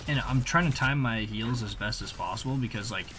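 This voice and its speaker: A man's voice: mid-range voice, a little fast, pushed and bright. A man giving casual, rambling voice-over commentary on his own video game play, using informal gamer slang.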